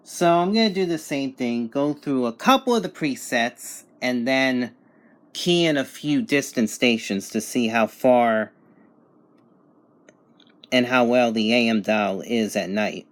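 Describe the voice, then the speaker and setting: A man's voice: medium pitch, a little slow. A man casually demonstrating and reviewing a radio, reading out station details in a matter-of-fact way. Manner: matter-of-fact, reading out call signs and distances. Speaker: reviewer giving a radio reception test.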